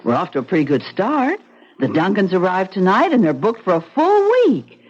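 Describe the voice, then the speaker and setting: An earnest young wife. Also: female voice, mid-range voice, very animated, normal speed, darkish tone. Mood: coaxing. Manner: earnest, persuasive. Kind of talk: 1970s radio drama acting. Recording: dull lo-fi radio broadcast.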